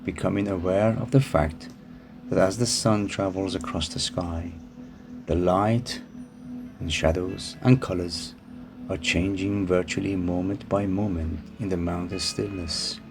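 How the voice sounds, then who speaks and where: A man narrating a guided meditation in a calm, soothing manner with unhurried phrasing. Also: deep voice. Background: music behind the voice.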